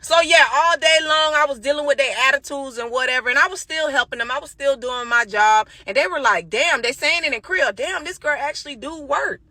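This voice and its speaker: A woman's voice: high-pitched, a little fast, few pauses, sharp tone, dry recording. A sassy, emphatic woman telling a personal story casually, with some swearing.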